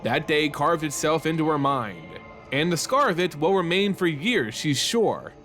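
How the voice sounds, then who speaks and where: A man's voice: a little high, very animated, unhurried, a bit bright, soft background music. A man hosting a fantasy lore channel, reading a story as dramatic scripted narration.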